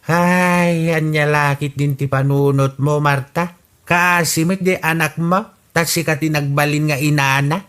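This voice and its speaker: A man's voice: on the high side, steady tone, measured pace, a bit bright, clean tone. A man with an Ilocano accent playing a blunt village man in a radio drama. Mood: scolding. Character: blunt middle-aged village man Ramos.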